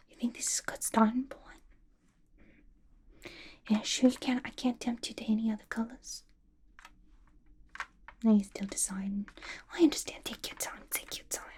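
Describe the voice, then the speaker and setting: Woman performing as playful gossipy villainess for ASMR roleplay: breathy whisper, mellow and soft-edged, chatty and conspiratorial, noticeable background noise. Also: medium pitch, close to an everyday voice. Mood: coaxing, friendly. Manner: chatty, conspiratorial, giggly, colloquial.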